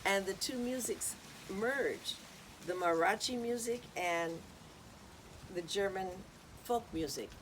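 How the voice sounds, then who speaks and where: A woman's voice: medium pitch, slow, slight background noise, rough recording. A woman hosting an informal talk on music history, rambling and chatty with many ums and uhs. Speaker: host.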